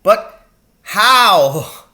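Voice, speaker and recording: A man voicing a scheming, excitable father from a children's storybook, with a theatrical, intense delivery. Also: high-pitched, extremely slow, pitched above the performer's own voice, heavily acted. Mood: worked up. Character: scheming, excitable father, conspiratorial poacher with a grudge. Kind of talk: children's audiobook character reading.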